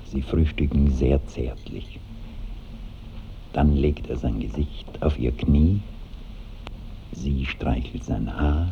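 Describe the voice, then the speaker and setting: A man acting as the composed narrator of a radio play, reading stage directions in a measured, literary way. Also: very deep voice, exaggerated voice. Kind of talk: scripted radio drama narration. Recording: muffled old radio recording with faint hiss.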